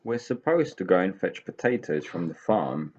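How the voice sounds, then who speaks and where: A man's voice: deep voice, very animated, normal speed, darkish tone, breathy edge, dull audio, exaggerated voice. A man voicing a grumbling, idle, self-pitying pixie in a children's storybook, in a gloomy, whining way. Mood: lazy, doleful.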